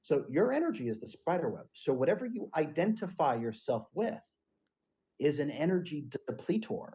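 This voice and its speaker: A man's voice: a little high, sing-song, normal speed, dark tone, breathy edge, lo-fi sound. A man hosting a podcast, giving an earnest and emphatic spiritual teaching talk. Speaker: podcast host.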